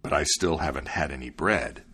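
A man's voice: rumbling low, normal speed, sharp tone, husky, voice pushed down, full character voice. A man voicing a sad, hungry, weary dog in a storybook tale, in a plaintive, subdued manner. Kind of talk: storybook character voice.

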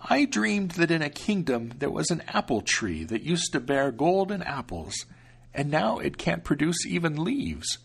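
A man voicing a kindly but scolding old grandmother in a high, slow voice. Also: big pitch swings, gravelly, breathy edge, full character voice.

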